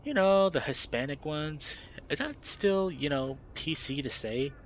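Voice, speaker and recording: Man doing a dopey young woman, babyish coo, slight rasp, pitched way up, loud, on a dull lo-fi recording. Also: expressive, measured pace, slight background noise. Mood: whiny, dopey. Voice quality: slight rasp, cooing whine.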